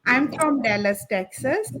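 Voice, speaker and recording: A woman's voice: high-pitched, expressive, a little slow, fairly bright tone, slight background noise. A woman from India, introducing herself on a video call, hesitant with fillers and restarts. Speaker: stay-at-home mom and business owner. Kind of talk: casual self-introduction.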